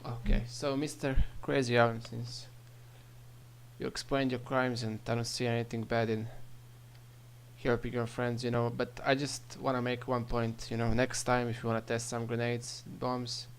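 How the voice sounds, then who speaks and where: Easygoing roleplay cop: a man with a mid-range voice, relaxed, with faint hum underneath. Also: medium pitch, moderate pace. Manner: laid-back, filler-heavy talker. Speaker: roleplay police constable. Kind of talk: in-character roleplay interrogation. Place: online game voice chat.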